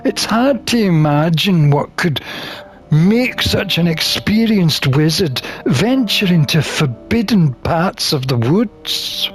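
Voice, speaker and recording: A man reading a visual novel aloud as a gamer, expressive and theatrical, with drawn-out phrasing. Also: sits high. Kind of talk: expressive read-aloud of game text.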